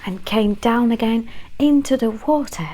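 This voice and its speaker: Slow whispering woman reading a story. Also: a little high, rough recording. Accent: mixed British and Canadian. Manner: gentle, hushed, unhurried delivery. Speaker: ASMR storyteller.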